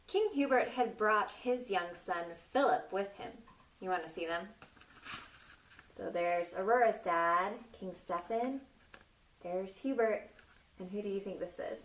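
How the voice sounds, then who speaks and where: Slow-paced woman reading a children's story. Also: medium pitch, slight background noise. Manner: asks the children frequent questions, exclaims playfully. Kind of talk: interactive read-aloud storytelling for young children. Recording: distant microphone.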